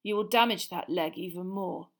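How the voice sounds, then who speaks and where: A woman plays a gaunt escaped prisoner in a storybook. He speaks in a hushed, croaky voice. Every word is a quiet, menacing taunt. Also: lowish voice, moderate pace. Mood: quiet, cold. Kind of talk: dramatised audiobook character voice.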